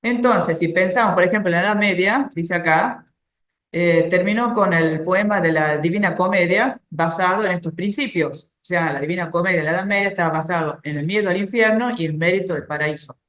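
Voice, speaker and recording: A woman giving an informal faith talk, explaining ideas through everyday examples and soft laughs. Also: fairly low pitch, fairly quick, reverberant.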